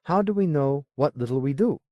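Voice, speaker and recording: A man voicing a measured, skeptical senior advisor in an audiobook, with a quiet, musing, questioning manner. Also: fairly high pitch, lively intonation, dry recording, muffled recording, voice pushed up, natural voice. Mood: musing, doubtful. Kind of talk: audiobook character dialogue.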